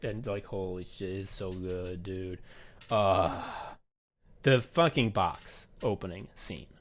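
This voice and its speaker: A man with a slightly low voice chats as a podcast host. He is effusive and profane, stressing his points and slipping into quoted dialogue as he tells a story in a loose, conversational way. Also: takes it slowly. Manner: acts out remembered exchanges in dialogue. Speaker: podcast host and audio play writer. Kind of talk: casual podcast chat, retrospective.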